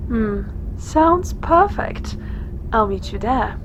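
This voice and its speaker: A woman's voice: animated, slow. A woman playing a poised, flirtatious officer in an audio drama, coy and teasing in manner. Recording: faint vehicle-like background rumble.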